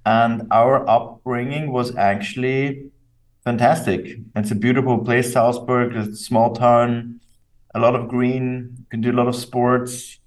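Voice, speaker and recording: A young adult man from Austria, bilingual in English and German, answering interview questions in a thoughtful, measured way with fillers and restarts. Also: mid-range voice, flat delivery, far from the mic, rough recording. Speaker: company co-founder, guest.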